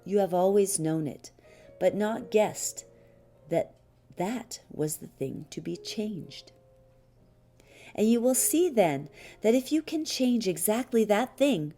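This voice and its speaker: A woman reading a spiritual teaching text as an audiobook, measured and deliberate, pausing often between short phrases. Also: medium pitch, mellow. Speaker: audiobook reader. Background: music behind the voice.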